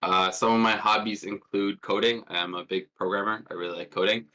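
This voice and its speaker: A man's voice: lowish voice, steady tone, fairly quick, pushed and bright. A man in his twenties presenting an informal online workshop in a casual, self-deprecating and hesitant way over a call. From born in Jordan, lived in Palestine as a child. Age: 20s. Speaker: workshop presenter, student and threat hunter. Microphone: online call with push-to-talk.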